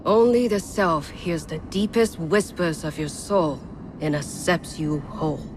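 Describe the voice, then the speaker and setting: Slow, low-voiced cold villainess. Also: female voice. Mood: cold, bitter. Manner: deliberate, emphatic pauses. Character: cold, solitary, philosophical female villain. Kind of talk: slow dramatic monologue in a video game cutscene. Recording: clear background ambient noise.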